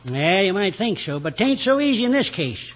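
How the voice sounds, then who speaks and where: An actor playing a cantankerous old American rancher with crotchety frontier slang in a 1950s radio western. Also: male voice, high-pitched, big pitch swings, normal speed. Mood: troubled. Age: older. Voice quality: husky, cackling old voice. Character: cantankerous, trigger-happy old male rancher. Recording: dull old radio recording.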